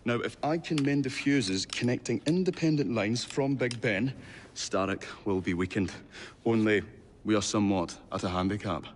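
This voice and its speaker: A man's voice: on the low side, normal speed, long reverb tail, slight background noise, close to an everyday voice. A man voicing a flustered, fussy, polite Victorian inventor who stammers in a game cutscene. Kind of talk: video game cutscene acting.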